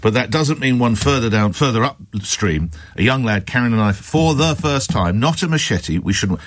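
A man's voice: medium pitch, animated, normal speed, dry recording. A man hosting a phone-in radio show, pressing callers with pointed questions and arguing his case. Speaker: radio talk show host. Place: radio studio. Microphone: studio microphone.

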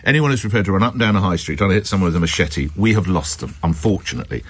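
A man's voice: sits low, lively intonation, racing, husky. A man hosting a phone-in radio show, pressing callers with pointed questions and arguing his case. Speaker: radio talk show host. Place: radio studio. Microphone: studio microphone.

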